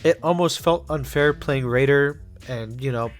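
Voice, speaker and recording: A man's voice: mid-range voice, moderate pace, faint music behind. A young man with a congested voice, narrating a scripted video essay on a game in a casual way, with fillers and restarts. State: congested after being sick. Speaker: gaming YouTuber.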